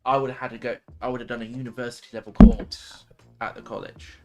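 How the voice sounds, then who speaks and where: A man's voice: mid-range voice, level tone, fast talker, over loud music. A man co-hosting a livestream, chatting casually in hesitant, loose phrases. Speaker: livestream co-host. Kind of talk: casual chat.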